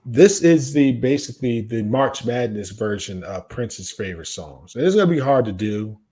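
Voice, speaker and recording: An American man in casual conversation as a podcast co-host. His pitch sits in an ordinary middle range. He tends to say a phrase, then say it again. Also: animated, normal speed, mellow, slightly rough. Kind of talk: casual music chat.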